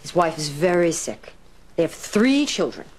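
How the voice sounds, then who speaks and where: An actress playing an intent radio serial writer who dictates stories dramatically. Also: female voice, fairly low pitch, very animated, moderate pace, a little mellow. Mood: intent, storytelling.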